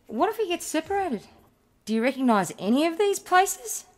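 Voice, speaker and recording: An actress playing a curious, wry, slightly anxious companion in a radio play. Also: female voice, very high voice, big pitch swings, moderate pace. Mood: curious, uneasy. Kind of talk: radio drama acting.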